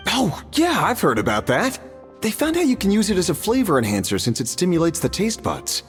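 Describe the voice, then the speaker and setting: A woman's voice: on the low side, wildly swooping pitch, a little fast, in a large hall, faint music behind. An actress playing a breezy, knowing woman in a video game, with a casual, wry delivery.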